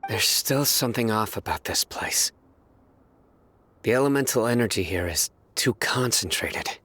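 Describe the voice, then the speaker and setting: Careful game scout, husky, takes his time, dry close sound. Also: fairly low pitch, animated, measured pace, a bit bright, full character voice. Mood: cautious. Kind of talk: video game voice acting.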